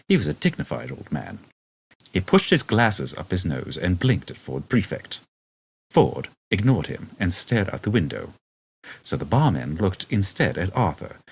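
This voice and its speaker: A man's voice: deep voice, creaky, breathy edge. A man reading a comic novel aloud as a scripted storybook narration. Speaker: audiobook narrator.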